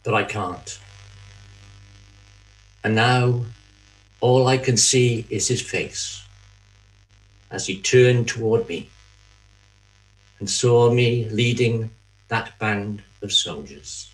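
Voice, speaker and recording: A man playing a remorseful betrayer in a dramatic church monologue, halting, anguished and confessional as he pleads. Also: lowish voice, rough recording. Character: Judas, remorseful betrayer haunted by guilt, pleading.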